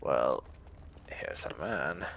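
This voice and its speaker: A man giving casual, musing roleplay commentary over a video game, with a gravelly voice. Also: rumbling low, very animated, drawn-out words, noisy background. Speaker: gaming video host.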